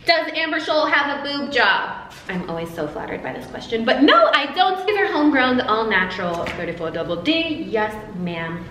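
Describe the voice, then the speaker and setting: A woman's voice: on the high side, sing-song, normal speed, sharp tone, distant mic. A young woman from the United States answering fan questions in a playful, jokey self-interview vlog, with big swings of inflection. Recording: roomy echo, faint noise.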